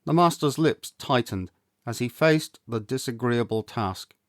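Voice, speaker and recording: A man narrates a storybook with an actor's expressive, theatrical flair. His mid-pitched voice is measured and deliberate. The sound is dry, with very little room in it. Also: dry recording. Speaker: audiobook reader, actor.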